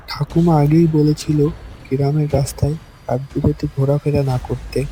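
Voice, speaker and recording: A man's voice: fairly high pitch, warm tone, slight background noise, lightly acted, poor audio. A man voicing an anxious, bewildered young grandson who narrates and acts in a horror cartoon with dramatic, hesitant delivery. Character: frightened, bewildered young grandson telling his ghost story. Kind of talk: first-person horror cartoon narration and dialogue.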